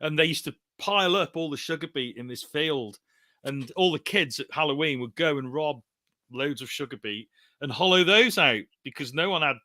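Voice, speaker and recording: Bright, dry-sounding male co-host. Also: a little high, lively intonation, normal speed, no echo. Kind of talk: live podcast chat.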